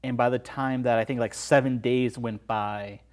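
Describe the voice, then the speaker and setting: Mid-pitched man in casual film talk. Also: steady tone, moderate pace. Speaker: podcast guest.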